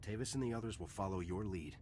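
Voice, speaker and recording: A man playing a steady, earnest heroic army lieutenant in a game, confident and clipped. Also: fairly low pitch, quick pace, slight background noise, lightly acted. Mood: earnest, firm. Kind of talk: video game cutscene acting. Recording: music behind the voice.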